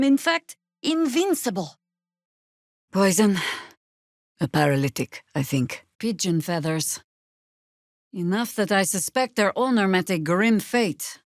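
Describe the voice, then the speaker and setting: World-weary, sardonic older warrior druid. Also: female voice, mid-range voice, no room sound. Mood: grave, urgent, then wry, pondering. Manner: dry, wry, measured and grave. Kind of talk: video game voice acting.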